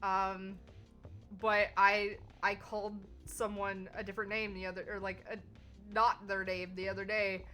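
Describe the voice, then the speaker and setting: A woman with a Southern US accent, hosting a casual stream chat, animated and laughing, swearing freely. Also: mid-range voice, moderate pace. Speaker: streamer host. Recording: faint background music.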